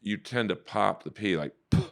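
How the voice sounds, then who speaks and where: Deep baritone man telling stories. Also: moderate pace, creaky, soft background music. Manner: animated storyteller, profane. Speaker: comedian and voice actor guest. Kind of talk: casual podcast chat.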